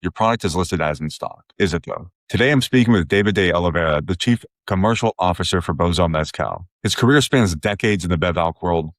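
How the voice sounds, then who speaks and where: A man's voice: low-pitched, a little fast, hardly any pauses, dry recording, clean studio sound. A man hosting a podcast, presenting and putting questions to a guest. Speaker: podcast host. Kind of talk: podcast intro and interview questions.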